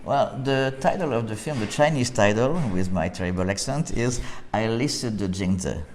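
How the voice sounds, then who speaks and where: A man from France speaking English with a French accent as a film director in an interview, pausing often with fillers and restarts. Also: lowish voice, animated, darkish tone, in a large hall, slight background noise. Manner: halting, with many "uh" fillers and restarts. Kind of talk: interview conversation.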